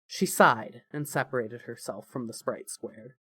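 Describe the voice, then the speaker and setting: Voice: mid-range voice, a little slow, sharp tone, husky, no room sound. A narrator reads scripted story prose in a mid-range voice. The delivery is that of an audio fiction reading, and the sound is close and dry with no room around it.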